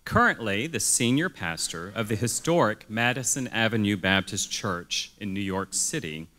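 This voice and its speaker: A man's voice: on the low side, sharp tone. A man serving as emcee, reading a formal, upbeat introduction of the guest speaker at a luncheon.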